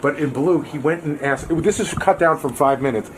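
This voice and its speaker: A man's voice: on the high side, normal speed, slight background noise, poor audio. A man explaining something in a casual, conversational style.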